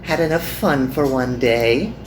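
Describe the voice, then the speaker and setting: A man's voice: mid-range voice, unhurried, reverberant, a little hiss or hum, natural voice, rough recording. A man voicing a teasing, haughty, seductive woman from an anime visual novel game.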